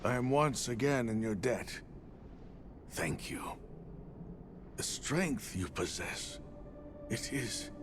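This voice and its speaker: A man playing a grave, cautious village elder in a video game, speaking in a formal, measured, solemn way. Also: medium pitch, lively intonation, noisy background. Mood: grateful, solemn. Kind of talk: video game cutscene acting.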